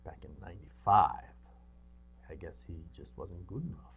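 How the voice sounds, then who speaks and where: A man's voice: low voice, lively intonation, moderate pace, creaky. A man co-hosting a movie show in a casual, rambling way, sometimes muttering asides as he goes. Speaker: co-host of a movie review show. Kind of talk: casual unscripted movie chat, reading synopses aloud.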